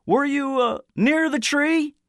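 Insistent schoolboy accuser, pitched high, probing and pointed, for an audiobook played by a man. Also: slow, a bit bright, no echo, clean studio sound, heavily acted. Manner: pointed, probing, harsh questioning. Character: unseen teenage boy on a tribunal platform, insistent accuser.

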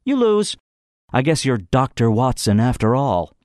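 A man voicing a tense teenage boy who jokes loudly to hide his nerves, strained and offhand. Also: mid-range voice, very animated, measured pace, no echo, clean studio sound, pitched above the performer's own voice. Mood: nervous joking. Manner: strained, offhand, overloud bluster.

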